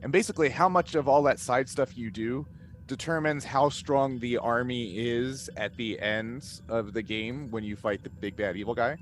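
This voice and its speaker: A man chatting casually on a panel video call, explaining things in a halting, discursive way. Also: medium pitch. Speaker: cast member and game master. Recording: noisy video call audio.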